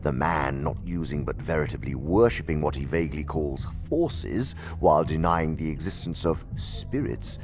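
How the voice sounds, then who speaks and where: A man playing an older, pompous senior demon in an audio drama, lecturing his inferior with condescension and savouring his words in a gravelly voice. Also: extremely low pitch, expressive, normal speed, few pauses, over music. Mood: lecturing, scheming. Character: senior demon uncle, cunning, condescending, theatrical schemer. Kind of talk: theatrical audio drama dialogue.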